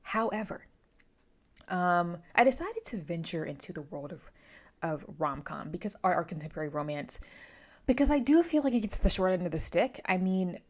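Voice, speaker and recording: A woman's voice: lowish voice, lively intonation, moderate pace, darkish tone. A woman talking casually through a book review, opinionated and emphatic, often wandering into asides.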